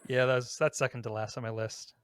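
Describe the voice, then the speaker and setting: A man's voice: medium pitch, little pitch movement, brisk, clear, resonant tone, dry recording, studio quality. A man hosting a casual podcast, chatting conversationally about games. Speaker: podcast host.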